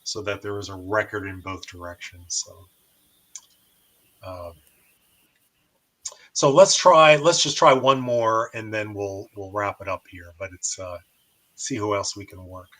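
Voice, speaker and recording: A man's voice: lowish voice, measured pace, long pauses, fairly bright tone. An American man hosting a casual amateur radio demonstration and chatting as the operator.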